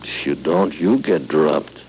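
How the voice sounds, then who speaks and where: Deep-voiced menacing thug. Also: male voice, slow. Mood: cold threat. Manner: curt, threatening, rough grammar. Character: menacing hired thug, a male strong-arm. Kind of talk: 1950s radio crime drama acting. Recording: dull, noisy old radio recording.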